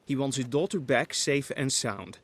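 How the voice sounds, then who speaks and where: A man's voice: medium pitch, expressive, normal speed, a bit bright. An actor playing a prim male servant at an imperial court in a video game, speaking in a fussy, formal, deferential way.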